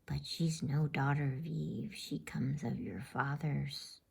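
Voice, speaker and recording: A woman voicing an earnest, folksy male talking beaver in a breathy whisper, declaiming in measured phrases for a bedtime storybook. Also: deep voice, steady tone, a little mellow, full character voice.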